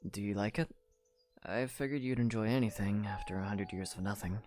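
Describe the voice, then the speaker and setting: A man playing a calm, modest young knight in an audio drama, understated and reassuring, over music. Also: lowish voice, fairly quick, natural voice. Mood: lightly teasing.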